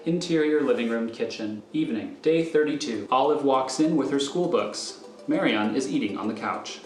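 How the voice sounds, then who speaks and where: A man narrating scripted stage directions for a radio thriller in a measured, matter-of-fact manner. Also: mid-range voice, lively intonation, music in the background. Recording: echoey room, faint noise.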